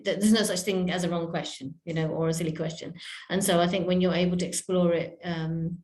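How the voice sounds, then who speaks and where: A woman talks slowly as a podcast guest, pausing often and restarting her sentences. Her voice sits fairly low and stays flat in pitch. The room has a noticeable echo. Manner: hesitant, many fillers and restarts. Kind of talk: reflective interview talk. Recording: echoey room.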